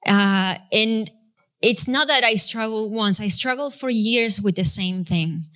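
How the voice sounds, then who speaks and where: A woman's voice: medium pitch, unhurried, a bit bright. Argentine woman pastor, chatty and laughing. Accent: Argentine Spanish. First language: Spanish. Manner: chatty, self-deprecating, laughs often. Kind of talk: sermon with congregational prayer. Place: church sanctuary.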